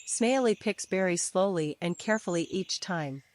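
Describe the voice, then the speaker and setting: A woman narrating a scripted children's bedtime story in simple, deliberate sentences. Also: fairly low pitch, animated, darkish tone. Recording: faint birdsong and nature ambience. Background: birdsong, crickets, forest ambience.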